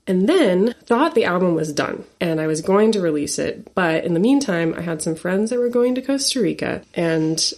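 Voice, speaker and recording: Lowish-voiced woman in her 40s, earnest storyteller, lots of likes and little laughs. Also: normal speed, hardly any pauses. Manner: earnest, animated storytelling with frequent laughs and fillers. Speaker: guest singer-songwriter. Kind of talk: storytelling interview talk.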